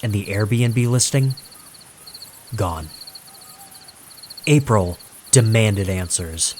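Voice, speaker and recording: A man reads a horror story slowly and with suspense. His pitch moves widely between dramatic pauses, and there is faint noise behind him. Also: animated, darkish tone. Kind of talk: dramatic scripted horror narration.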